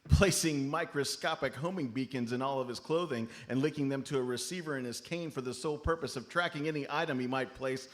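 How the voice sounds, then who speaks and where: A man playing an earnest, hesitant amateur detective with a mock-serious, deliberate delivery in a live comedic radio play. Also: mid-range voice, close to an everyday voice. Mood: grave, mock-dramatic. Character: Terry, earnest, hesitant, self-important amateur detective sidekick. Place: comedy club stage before a live audience.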